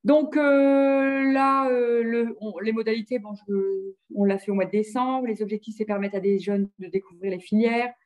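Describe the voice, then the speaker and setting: Mellow-voiced woman presenting, halting delivery. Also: a little high, fairly even intonation. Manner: hesitant, often repeating words to find phrasing. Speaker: presenter of local health programmes. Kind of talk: informal slide presentation at a webinar.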